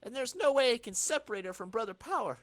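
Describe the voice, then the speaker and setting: Casting: man doing young male comic-book sidekick, keyed-up and animated, swinging intonation, slangy asides. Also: extremely high pitch, fast talker, no echo, pitched above the performer's own voice. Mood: urgent, incredulous.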